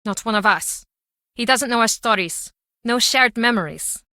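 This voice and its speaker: An actress playing a guarded Icelandic small-town pub landlady in a video game, speaking in measured, terse, matter-of-fact phrases. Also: fairly high pitch, bright, forceful tone, no echo, clean studio sound. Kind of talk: video game character dialogue.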